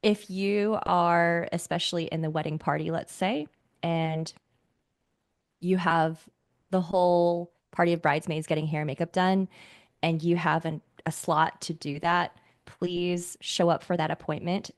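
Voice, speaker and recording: Low-voiced woman giving style advice. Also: fairly even intonation, measured pace, dry recording, studio quality. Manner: earnest advice-giving, laughs between points. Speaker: guest personal style coach. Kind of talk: casual podcast interview.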